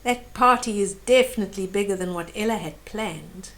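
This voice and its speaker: An older woman retelling a picture book to young children as a storyteller, in short expressive phrases that speak directly to the listener. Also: mid-range voice, normal speed.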